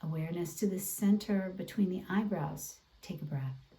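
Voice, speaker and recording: Very mellow woman guiding a meditation. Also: fairly low pitch. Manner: gentle, deliberate, encouraging phrasing. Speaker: meditation and gentle movement instructor. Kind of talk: guided meditation instruction. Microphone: away from the mouth, video call. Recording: distant microphone, online video class.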